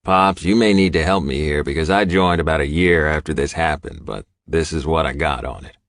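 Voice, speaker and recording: A man narrating gritty first-person fiction as an audiobook, with an intense, deliberate delivery. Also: extremely low pitch, a bit bright.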